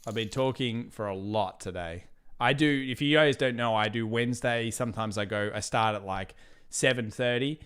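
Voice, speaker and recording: A man's voice: mid-range voice, normal speed, fairly bright tone, smooth. A man with an Australian accent hosting a live market analysis stream, talking casually, reading out viewer questions, and wearing out his voice after hours of talking. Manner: casual, rambling, reads viewer questions aloud. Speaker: trading channel host.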